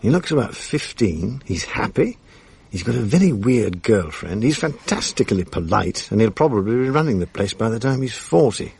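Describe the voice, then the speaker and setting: A world-weary English film man in middle age, wry and irritable, in a British radio play. His voice is low and gravelly, with a husky edge. He speaks dryly. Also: very animated, moderate pace, darkish tone, heavily acted. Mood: tender, tired. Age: middle-aged. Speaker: actor, narrator of the drama. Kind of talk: 1980s British radio drama acting.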